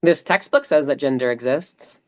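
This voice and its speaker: A woman streamer debating a stranger, measured and precise, making her points carefully. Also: deep voice, lively intonation, a little mellow, muffled recording. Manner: measured, precise, cites a textbook. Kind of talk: calm argumentative debate.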